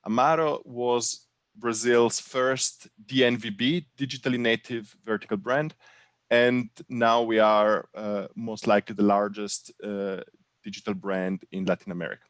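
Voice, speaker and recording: Low-voiced man, dry sound. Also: steady tone, no room sound. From Italian-speaking Switzerland. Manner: earnest, halting with many fillers. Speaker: panel guest, brand co-founder. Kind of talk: online panel introduction.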